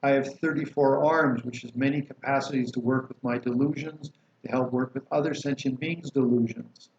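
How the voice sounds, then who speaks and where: A man teaching a Buddhist practice, calmly explaining and reciting liturgy with slow chanted mantras. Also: medium pitch. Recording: roomy, dull lo-fi recording with faint hiss.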